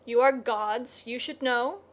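A woman's voice: a little high, slow. A woman voicing a plain-spoken mortal farmer, a loving husband. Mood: quiet, bitter.

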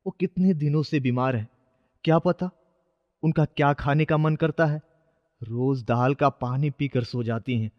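A man's voice: on the high side, a little slow, muffled recording, lightly acted. Earnest poor boy voiced by a man, reverberant. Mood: wistful. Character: poor, kind-hearted young shoe-shine boy, anxious and earnest. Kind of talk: dramatized children's moral story.

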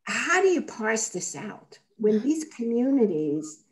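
A woman's voice: a little high, sing-song, slow. Older woman telling stories on a video call. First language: Armenian. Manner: chatty, anecdotal, many "you know"s. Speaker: participant. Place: home kitchen at night.

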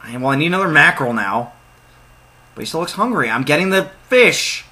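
A young man narrating a point-and-click game as he plays, his voice bright and lively, swinging up for jokey asides. He reads the on-screen text aloud in a casual, excitable way. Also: fairly high pitch, brisk, husky. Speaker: let's play gamer. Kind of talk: casual let's play commentary.

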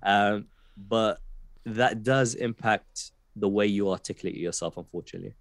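A man in his thirties speaks in a very low voice with a West London accent. He muses slowly and hesitantly, full of fillers and restarts. The sound is dry and close, as on a headset during a video call. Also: dry recording. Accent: West London English. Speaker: poet guest. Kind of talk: casual podcast conversation. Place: home room, video call.

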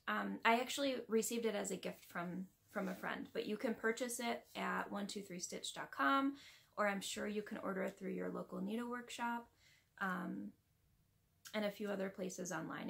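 A woman in her thirties talks to a webcam from a small home craft room. Her voice is mid-pitched with a gravelly fry, and she chats in a friendly, easygoing way, with plenty of ums as she answers viewers. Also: fairly bright tone. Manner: friendly, chatty, many ums. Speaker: crafting vlogger. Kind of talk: casual chat to camera.

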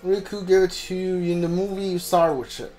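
A man giving casual live playthrough commentary as a gaming streamer, haltingly repeating words as he thinks. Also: high voice, fairly even intonation, a little mellow. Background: faint game music.

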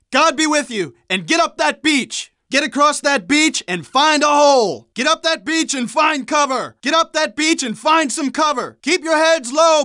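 An American Second World War squad leader in a video game. His voice is very high and forceful, often raised to a shout. He barks military orders with urgency and authority, in a dry, close recording. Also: no echo, heavily acted. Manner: commanding, barked military orders. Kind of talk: video game combat voice lines.

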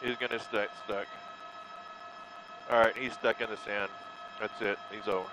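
Low-voiced man narrating a live chase. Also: steady tone, normal speed, noisy background. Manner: offhand running commentary with fillers. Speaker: news helicopter reporter. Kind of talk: live breaking-news commentary.

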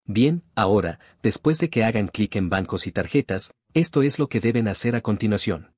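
A man with a low, slightly husky voice talks viewers through a screen-recording tutorial. He speaks casually, repeats himself, and keeps calling the audience "guys". The recording is close and dry. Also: expressive, normal speed, darkish tone, no room sound. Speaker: tutorial host.

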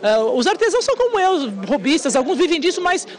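A woman's voice: very high voice, very animated, fast talker. A Brazilian woman speaks off the cuff in an interview. She talks as an organizer and craftswoman, in an unscripted way. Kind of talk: spontaneous interview answer.

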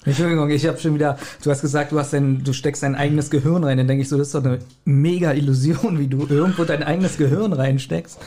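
A man chats jokingly as a podcast co-host. His slightly raised voice moves quickly, over clearly audible noise and clicks. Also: fairly high pitch, steady tone, dark tone. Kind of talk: casual joking chat.

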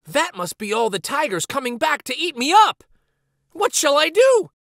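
Clever small boy, played by a male reader; high, bright, lively pleading. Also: unhurried, no echo, clean studio sound, exaggerated voice. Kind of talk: storybook reading.

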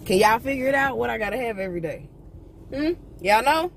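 A woman's voice: on the high side, fairly quick. A young woman vlogging from inside a parked car at night, ranting bluntly and profanely to her viewers. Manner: blunt, profane, animated rant. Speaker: vlogger, entrepreneur. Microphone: phone camera on the dashboard. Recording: car interior with clear noise.

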